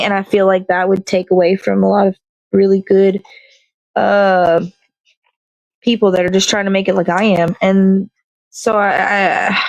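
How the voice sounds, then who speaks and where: A woman's voice: mid-range voice, gravelly, darkish tone, no echo, poor audio. Rambling woman host, talks while crafting, dry close recording. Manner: rambling, self-correcting, chatty. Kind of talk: casual chat while crafting.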